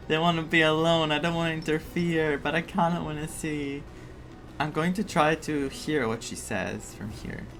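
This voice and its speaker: A man's voice: fairly high pitch, unhurried. A man streaming a game, commentating casually in a gushing, reactive way. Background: game music.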